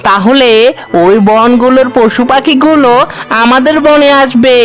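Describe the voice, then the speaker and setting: A man's voice: very high-pitched, measured pace. A man voicing an eager, scheming monkey henchman in a cartoon, fawning and wheedling toward his master. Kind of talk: cartoon voice acting. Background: faint music.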